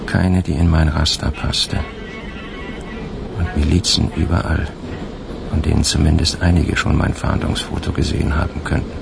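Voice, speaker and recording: Very deep, gravelly hunted policeman. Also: exaggerated voice. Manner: brooding, confiding, clipped phrases. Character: weary hunted male police officer narrating his diary. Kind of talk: radio drama first-person narration. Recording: loud music under the voice.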